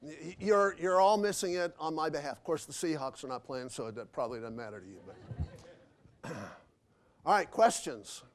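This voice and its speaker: Guy lecturing in a convention hall taking questions, kinda high voice, lots of uhs and restarts. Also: normal speed. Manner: rambling, many fillers and restarts.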